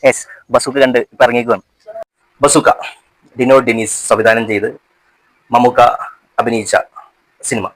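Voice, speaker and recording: A man giving a casual film review to camera, halting at times and dropping in English words. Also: medium pitch, steady tone, poor audio. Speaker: movie reviewer.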